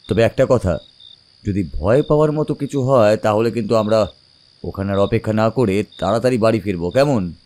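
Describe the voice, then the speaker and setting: A man playing an older village uncle who tells a suspenseful horror tale in an expressive, dramatized way. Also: mid-range voice, natural voice. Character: older village uncle recounting his eerie boyhood adventure.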